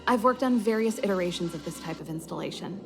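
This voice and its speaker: A woman's voice: on the low side, fast talker, music in the background, close to an everyday voice. An actress playing a confident, easygoing young woman mechanic and rock musician in a video game, with a breezy, self-assured manner. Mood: confident explaining.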